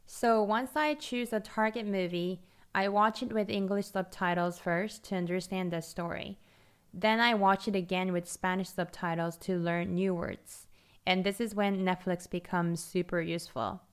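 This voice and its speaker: A woman whose first language is Korean, calmly walking through a tutorial as a scripted voice-over, explaining steadily step by step. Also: on the low side, normal speed, clear, resonant tone. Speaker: language-learning video host.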